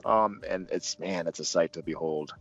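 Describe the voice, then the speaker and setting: A man's voice: deep voice, moderate pace. A man co-hosting a film review, chatting casually and enthusiastically with gushing, emphatic repetition. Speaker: co-host of a film review show. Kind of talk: casual enthusiastic movie discussion. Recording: dull lo-fi roomy sound, music behind.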